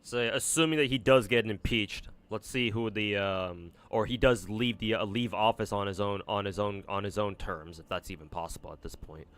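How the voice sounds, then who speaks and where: A young man with an American accent talks through a gaming livestream in a loose, rambling way. He restarts his sentences and swears casually. His voice sits slightly low and comes across clean and smooth. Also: normal speed, hardly any pauses, a bit bright. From United States. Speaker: streamer.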